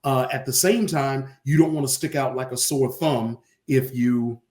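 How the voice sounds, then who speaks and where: A man's voice: medium pitch, steady tone, moderate pace, darkish tone. A man, an arena announcer, telling casual stories as an interview guest and acting out bits of dialogue. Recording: roomy echo on the voice.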